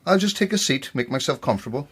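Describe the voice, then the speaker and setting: Audio drama: actor as needling, sardonic man, irritable, very lively intonation, noisy backdrop of clinking. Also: mid-range voice, fast talker, close to an everyday voice. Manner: sarcastic, needling. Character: sardonic, irritable male sceptic named Edward. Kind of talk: naturalistic audio drama acting.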